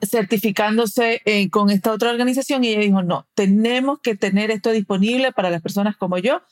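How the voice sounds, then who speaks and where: A woman's voice: mid-range voice, a little fast. A woman from the United States speaking as an interview guest, explaining conversationally and checking in with short tag questions. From raised in the United States. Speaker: podcast guest, perinatal educator and director.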